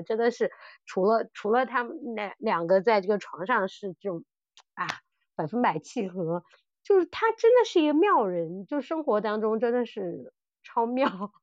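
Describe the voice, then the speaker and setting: A woman co-hosting a casual podcast chat, telling stories in an animated way and often repeating her phrases. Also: medium pitch, normal speed, no room sound, highs cut off. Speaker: podcast co-host. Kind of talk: casual chat about audio dramas.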